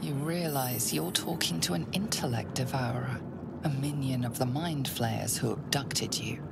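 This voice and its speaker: An actress playing an ominous, intimate video game narrator, addressing the listener in measured, often hushed dramatic narration. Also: female voice, a bass voice, vocal fry, heavy background noise, heavily acted. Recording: loud game music behind the voice.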